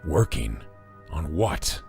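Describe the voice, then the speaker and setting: A man playing a weary, defensive police commissioner with a heavily raspy voice in a superhero fan dub. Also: a bass voice, big pitch swings, takes it slowly, a little mellow, faint music behind, heavily acted. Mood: disbelieving. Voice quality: very husky, raspy.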